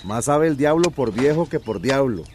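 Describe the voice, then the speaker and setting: Man acting as teasing village labourer, animated pitch, radio play, noisy background. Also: normal speed, natural voice. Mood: sly. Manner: teasing, folksy.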